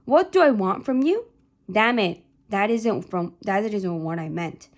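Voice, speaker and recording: A woman's voice: medium pitch, lively intonation, measured pace, lightly acted. A woman voicing an angry middle-aged immigrant father, bitter and domineering, in a read-aloud novel. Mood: enraged.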